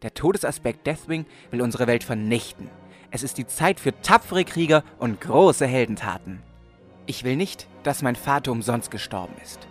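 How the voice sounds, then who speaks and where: A man's voice: mid-range voice, expressive, normal speed, soft background music, close to an everyday voice. A man playing an earnest but cheeky sixteen-year-old aspiring warrior in a comedic fantasy audio play. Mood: earnest, solemn. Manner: earnest storytelling, slangy when challenged.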